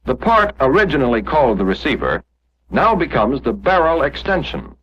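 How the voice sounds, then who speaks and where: A man's voice: mid-range voice, sing-song, normal speed, a little hiss or hum. Muffled 1940s training film narrator, male. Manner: didactic, clearly explanatory, step by step. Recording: muffled, dull 1945 film soundtrack.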